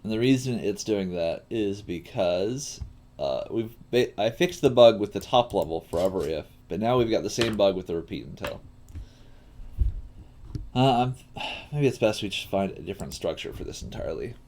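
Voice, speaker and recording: A man teaching coding in a live tutorial, thinking aloud in a halting way with pauses mid-thought. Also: on the low side, expressive, a little mellow. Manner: halting, pausing mid-thought while working. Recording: clear background noise, keyboard typing.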